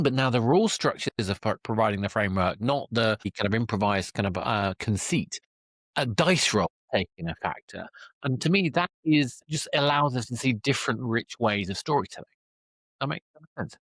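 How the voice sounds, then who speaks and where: A man's voice: medium pitch, expressive, normal speed, a bit bright, no room sound. A man hosting an interview podcast, talking conversationally in a thoughtful, wordy way that circles around his point. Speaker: podcast host interviewing a guest.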